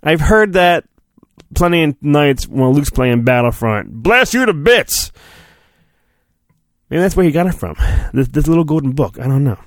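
Playful man with a lively, higher-pitched voice. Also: normal speed, dry recording, clean studio sound. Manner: playful, mock-earnest, acts out sound effects. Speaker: podcast host reading a children's storybook. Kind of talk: comic dramatic storybook reading with chatty asides.

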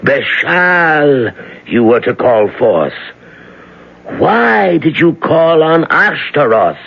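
A pompous Satanist professor in a radio horror play. He speaks slowly and theatrically, with wide swoops of pitch and a husky edge. The recording has a dull old broadcast sound. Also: very animated, heavily acted. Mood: lecturing, reproachful. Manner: pedantic, deliberate, theatrical. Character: pompous, sinister male philosophy professor, master Satanist. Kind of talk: radio drama acting.

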